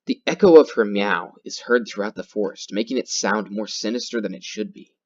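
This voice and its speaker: A man reading horror game text aloud in a theatrical, halting way, repeating words and adding his own comments. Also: fairly low pitch. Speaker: gaming video host. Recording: dull lo-fi sound with loud music. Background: loud guitar music.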